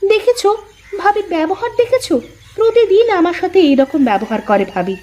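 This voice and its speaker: A woman's voice: extremely high pitch, sing-song, fairly quick, a little mellow. A woman voicing a dutiful young village daughter-in-law who stands her ground, in a Bengali cartoon story. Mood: aggrieved.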